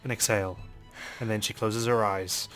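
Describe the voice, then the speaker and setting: A man's voice: lowish voice, steady tone, moderate pace, a bit bright, soft background music. A man hosting a let's play, reading a game's narration aloud with some drama.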